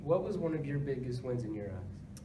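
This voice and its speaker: A man's voice: mid-range voice, sing-song, normal speed, echoey room, recorded from a distance. A man as a news reporter, putting scripted questions to a guest in a mock interview. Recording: noisy room recording.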